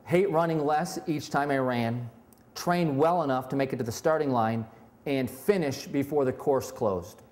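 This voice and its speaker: Pastor guy in his 40s, candid, a bit self-deprecating, medium pitch. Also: normal speed, a little mellow. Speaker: lead pastor. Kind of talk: testimonial interview. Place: indoor room with brick wall.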